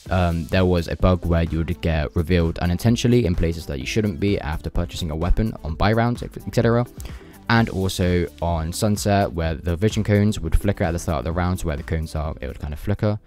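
Deep-voiced young man narrating game updates. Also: darkish tone. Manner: informal, loosely worded. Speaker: gaming video host. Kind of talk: casual patch-notes narration.

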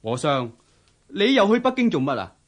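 An actor playing a haughty, irritable young Confucian scholar in a radio drama, scornful and challenging, with a Hong Kong Cantonese accent. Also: male voice, a little high, sing-song, moderate pace. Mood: scornful, angry.

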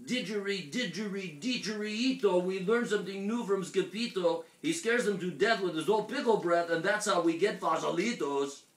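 Man doing high, excitable singsong little Chihuahuas. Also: drawn-out words, few pauses, exaggerated voice. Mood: celebrating chant. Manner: chanting, singsong cheering. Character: excitable pack of silly little singing Chihuahuas. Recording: roomy, echoey, distant mic.

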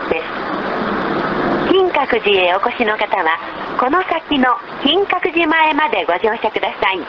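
A woman reading scripted bus stop announcements in a formal, measured, polite manner on a low-quality recording. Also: big pitch swings, highs cut off. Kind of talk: scripted public transit announcement. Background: loud vehicle noise.

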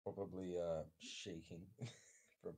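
Quiet, low, husky man. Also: little pitch movement, takes it slowly. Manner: quiet, hesitant. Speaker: player. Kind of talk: casual roleplay, describing a character. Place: online voice call.

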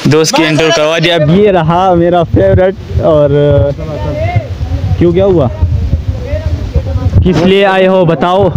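A man's voice: high voice, fairly even intonation, measured pace, long pauses, a crowd in the background. A man, chatting casually to viewers as a travel vlogger and prompting friends with questions. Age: 20s. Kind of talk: casual travel vlog chat. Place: outdoors in a hilly valley. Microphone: phone at arm's length. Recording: very noisy outdoor phone recording.

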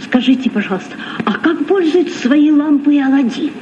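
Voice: sits high, animated, normal speed, dark tone. An actor playing an eager, polite youngster who asks curious questions in a vintage radio play. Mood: curious, wondering. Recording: muffled, noisy, distant old radio.

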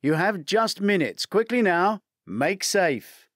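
A man's voice: sits high, very animated, takes it slowly, dry recording, clean studio sound, pitched above the performer's own voice, heavily acted. A man voicing a commanding male ship captain who announces to a crowd in an audiobook thriller.

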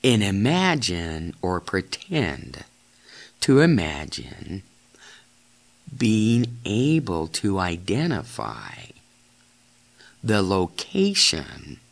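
A man leading a guided meditation in deliberate, halting phrases, giving gentle step-by-step instructions as a narrator of guided imagery. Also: sits low, wildly swooping pitch, creaky.

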